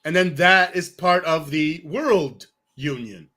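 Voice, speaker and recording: Male podcast host, fairly high and bright voice; friendly, thinks aloud, leans on "you know". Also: slow pace. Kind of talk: casual conversation.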